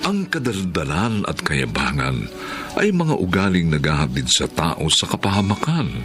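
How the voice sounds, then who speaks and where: A man's voice: deep voice, sing-song, normal speed, loud music behind the voice. A man giving solemn, moralizing scripted narration as a drama's narrator and announcer.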